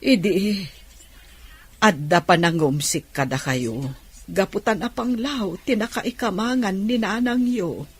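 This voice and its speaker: A woman's voice: mid-range voice, slow pace, husky. A woman playing an emotive, grateful older auntie in an Ilocano radio drama, with an Ilocano accent. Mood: remorseful, grateful.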